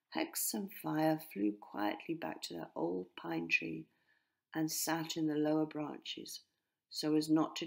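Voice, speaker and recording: A woman narrating a children's storybook expressively, in measured phrases that sometimes drop to hushed tones. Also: deep voice, gravelly. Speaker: author narrating her own story.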